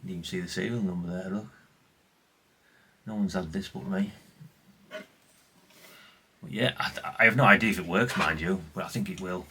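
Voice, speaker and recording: A man's voice: low voice, normal speed, long pauses, pushed and bright, slight background noise. A man, chatting casually and ramblingly as he presents old radio gear in a vlog. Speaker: vlogger showing vintage CB radios. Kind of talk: casual, unscripted chat.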